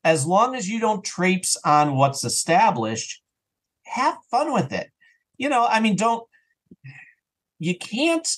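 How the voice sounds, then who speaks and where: A man talking casually as an interview guest about his creative work, telling things in an animated, self-interrupting way. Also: sits high, slow, pauses often. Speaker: audio drama writer and actor, interview guest.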